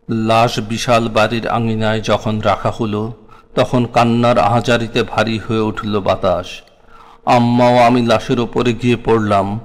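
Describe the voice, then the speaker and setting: A man with a Bangladeshi accent reciting a scripted memoir in a solemn, dramatic, literary way. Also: on the low side. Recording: long hall-like reverb.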